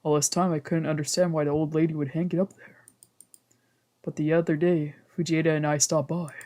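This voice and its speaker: A woman streamer playing a visual novel, mixing casual, slangy, expressive commentary with reading the game text aloud. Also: sits low, fairly quick. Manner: expressive, reactive, exclamatory, slangy. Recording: music playing behind the voice.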